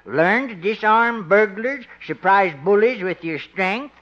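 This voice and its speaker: An actor playing a gleefully meddlesome old doctor in a 1950s radio comedy, teasing and chuckling. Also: male voice, sits high, measured pace, a bit bright. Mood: mock helpful. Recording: muffled old radio recording.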